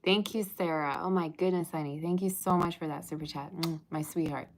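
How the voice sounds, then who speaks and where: Casual livestream tarot reader, woman with a low voice, playful and chatty, faint hiss behind. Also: fairly even intonation, brisk. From Russia. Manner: chatty, teasing, sings snatches of old songs. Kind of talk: livestream chat and tarot reading.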